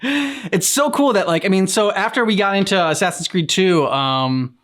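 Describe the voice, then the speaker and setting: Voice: mid-range voice, normal speed, a bit bright. A reaction channel host chatting casually in an informal, slangy way.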